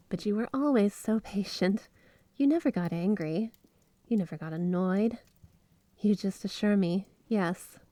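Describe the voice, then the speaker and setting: A woman's voice: mid-range voice, a little mellow, no room sound, close to an everyday voice. A woman playing a flirty, obsessively devoted yandere wife in an ASMR roleplay, speaking in a sweet, clingy, gushing way. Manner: sweet, clingy, breathy giggles, gushing affection. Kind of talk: ASMR roleplay, addressing the listener as spouse. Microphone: close studio microphone, dry.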